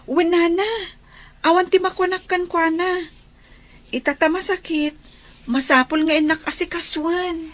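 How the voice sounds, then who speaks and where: A young stepdaughter in an Ilocano radio drama. Her voice is high, and she speaks gently and respectfully. She comes across as earnest, anxious and pleading. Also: steady tone, measured pace, pauses often.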